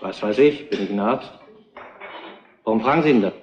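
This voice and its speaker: An actor playing a testy, blunt witness in a retro crime radio play, with curt colloquial delivery. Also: male voice, fairly low pitch, moderate pace, muffled recording, natural voice. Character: testy, blunt male witness in a murder inquiry. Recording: roomy echo, faint noise.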